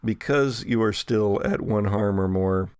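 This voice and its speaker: A man's voice: lowish voice, unhurried, darkish tone, clear, resonant tone, no room sound, clean studio sound. A man improvising narration as game master of a horror tabletop game.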